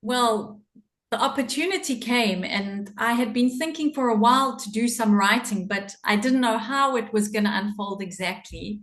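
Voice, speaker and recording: A woman's voice: a little high, normal speed. Echoey middle-aged South African woman. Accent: South African English. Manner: warm, earnest, laughs often. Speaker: podcast guest. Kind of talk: personal storytelling in an interview.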